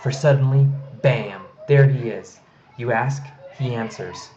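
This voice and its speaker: A man's voice: medium pitch, measured pace, a little mellow, reverberant, close to an everyday voice. A man voicing a folksy, pompous town mayor and father in a children's storybook. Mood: jovial. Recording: slightly noisy, dull home recording.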